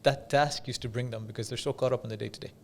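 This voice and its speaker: A man from Dubai speaking as an interview guest, telling stories in a measured, conversational way with pauses and repeated phrases for emphasis. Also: medium pitch, fairly even intonation. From Dubai, UAE. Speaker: podcast guest, business coach.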